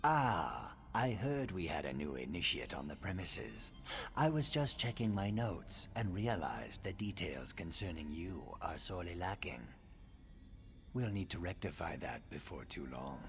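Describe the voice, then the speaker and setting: An actor voicing a meticulous, scholarly assassin in a video game, musing precisely with dry condescension. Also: male voice, sits low, big pitch swings, a little slow, heavily acted. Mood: musing, condescending. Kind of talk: video game character acting.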